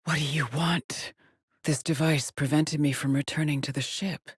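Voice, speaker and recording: A haunted lone female space explorer from a sci-fi video game, speaks in a low, husky voice. She is measured and solemn, weary yet determined, and often breathy, as if logging her findings alone. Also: fairly even intonation, dry recording, studio quality. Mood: terse, focused. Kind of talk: dramatic game voice lines, short log entries.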